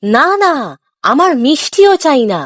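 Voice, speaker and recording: Woman voicing an eager, very high-pitched little boy. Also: sing-song, measured pace, dry recording, studio quality. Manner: pleading, earnest. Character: eager, helpful, apologetic young village boy. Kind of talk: children's cartoon dialogue.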